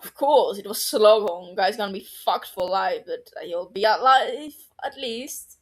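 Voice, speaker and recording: A woman's voice: mid-range voice, animated, a little slow. A woman voicing a cheeky young female surgeon who talks in a bouncy, teasing way and swears freely.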